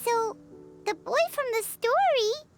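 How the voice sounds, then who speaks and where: Very high, childlike cat girl. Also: expressive, slow pace, heavily acted. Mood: wonder. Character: cute sassy little cat girl, childlike. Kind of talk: anime-style game voice acting. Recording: prominent background music.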